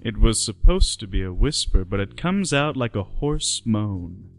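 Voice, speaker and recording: A man's voice: fairly low pitch, very animated, measured pace, creaky, soft background music. A man giving scripted narration for a radio play.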